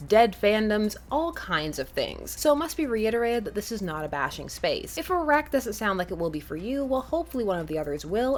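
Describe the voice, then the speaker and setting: A woman hosting a chatty fan fiction recommendation show in a playful, emphatic way. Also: mid-range voice. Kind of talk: semi-scripted presenting to camera, chatty.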